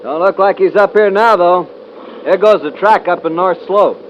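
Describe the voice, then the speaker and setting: An actor playing a quiet, stoic American cowboy in a 1950s radio drama, speaking in terse, understated phrases. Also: male voice, high-pitched, natural voice. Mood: calm, terse. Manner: laconic, measured, understated. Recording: muffled, faintly noisy old radio recording.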